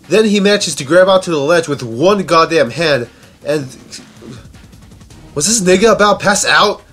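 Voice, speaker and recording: A man's voice: a little high, breathy edge. A man giving informal, sarcastic countdown commentary on video game scenes, often incredulous and swearing. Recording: music playing behind the voice. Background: background music.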